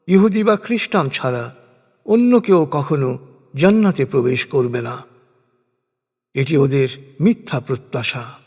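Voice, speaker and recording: High-voiced man reading solemnly in long reverb. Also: darkish tone. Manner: measured, formal, phrase-by-phrase delivery with falling endings. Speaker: narrator of scripture translation. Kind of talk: solemn scripted recitation of translated scripture. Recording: long reverberant hall effect.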